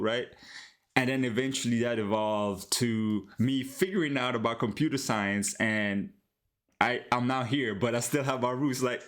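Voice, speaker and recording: A young Colombian-born man talks loosely into a headset mic while streaming from home. His speech is easygoing and full of slang, with stumbles and restarts, and he slips into Spanish now and then. His pitch sits right in the middle for a man. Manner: relaxed, slangy, switches into Spanish, frequent restarts. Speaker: live-streaming app developer. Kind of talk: casual live-stream chat with viewers.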